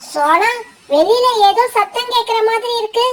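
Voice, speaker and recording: A woman's voice: extremely high pitch, expressive, moderate pace. A woman voicing a friendly, chirpy little female bird in a children's cartoon story. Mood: curious.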